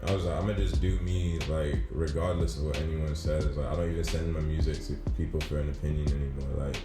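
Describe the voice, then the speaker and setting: A young man with a very deep voice talks in a loose, slangy way, leaning on easy filler phrases. The sound is roomy and echoey, with music playing clearly underneath. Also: steady tone, fast talker. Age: 20s. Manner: laid-back, slangy, repeats "you know what I'm saying". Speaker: rapper guest. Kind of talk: casual interview chat. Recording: echoey studio with music behind.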